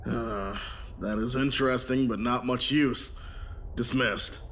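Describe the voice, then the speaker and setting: A man's voice: medium pitch, steady tone, slow pace, a bit bright, a little hiss or hum. A man playing a brooding, careworn ship captain in an audio drama. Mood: pensive, preoccupied.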